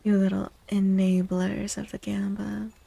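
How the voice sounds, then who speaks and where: Female ASMR streamer, soft-spoken and unhurried, mellow tone, gentle casual chat. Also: mid-range voice, flat delivery, vocal fry, husky. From United States, born to Mexican parents. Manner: gentle, encouraging, replying to viewers. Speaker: VTuber streamer chatting with viewers. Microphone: close, dry microphone.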